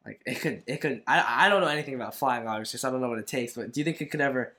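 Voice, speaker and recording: A man's voice: medium pitch, rapid-fire, pushed and bright. A young man conducting a casual interview, informal and rambling, with lots of filler words as he works out his questions.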